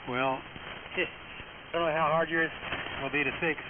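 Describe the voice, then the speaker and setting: A man hosting an amateur radio net over single-sideband, talking in a casual, folksy, unhurried way. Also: a little high, steady tone. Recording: noisy, narrow-band shortwave radio transmission.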